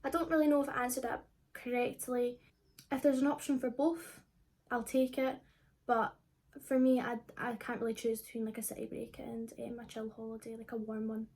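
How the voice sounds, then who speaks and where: Mellow young Scottish woman chatting casually. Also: a little high, level tone, normal speed, slightly rough. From Scotland. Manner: informal, rambling, frequent "like". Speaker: vlogger answering viewer questions. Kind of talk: casual chat to camera.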